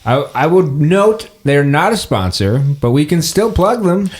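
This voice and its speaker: Man with animated, swooping delivery. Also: a little high, a little slow. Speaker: game master and podcast host. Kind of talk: improvised tabletop game narration and casual chat.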